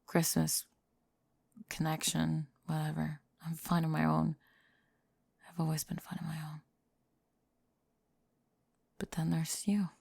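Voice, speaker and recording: A woman playing a prickly but secretly affectionate tomboy friend in an ASMR roleplay, sleepy and often whispering, deadpan and grudgingly tender. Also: low voice, slow pace, creaky, pauses often, husky, no echo, pitched below the performer's own voice, exaggerated voice. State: drowsy. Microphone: close ASMR microphone.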